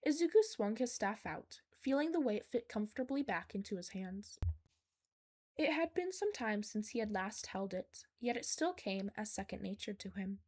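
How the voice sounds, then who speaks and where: A woman's voice: mid-range voice, animated, moderate pace, darkish tone. A woman reading fan fiction aloud as a scripted story narration.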